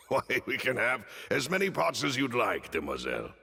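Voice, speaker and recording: A genteel butler in a story game, his voice low, gravelly and sharp at the edges. He bows through every sentence with courtly calm. A long hall reverb trails behind him over faint music. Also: moderate pace, heavily acted. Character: gravelly, genteel male butler, dignified and attentive. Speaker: butler attendant.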